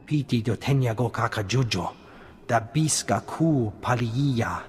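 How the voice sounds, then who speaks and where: An actor voicing an alien informant in a video game, chattering in a made-up tongue with a husky, breathy voice. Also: male voice, medium pitch, expressive, a little slow. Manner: chattering alien-language speech. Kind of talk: video game cut-scene acting. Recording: long hall reverb.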